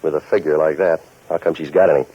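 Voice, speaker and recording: An actor playing a cynical, tough-guy hired investigator in a 1940s radio noir, deadpan and sardonic as he talks and narrates. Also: extremely low pitch, fast, breathy edge. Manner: deadpan, sardonic, hard-boiled. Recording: muffled 1940s radio recording.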